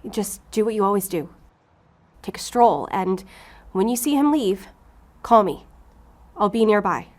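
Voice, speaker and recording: A woman's voice: medium pitch, animated, unhurried, natural voice. An actress playing a driven, anxious female FBI special agent in an audio fiction drama. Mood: contrite, earnest.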